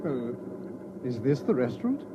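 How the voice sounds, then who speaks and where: A man's voice: mid-range voice, sing-song, moderate pace, background noise, close to an everyday voice. An actor playing a polite, curious sociologist visiting as a layman in an old-time radio drama, hesitant and inquisitive. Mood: amazed, hesitant. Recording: dull vintage radio recording.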